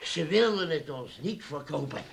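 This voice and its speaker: A man's voice: a little high, lively intonation, normal speed. An actor in a radio play playing a timid, awkward man with a hesitant way of talking. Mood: sheepish. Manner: hesitant, echoing his companion. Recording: noisy, clicky old radio recording.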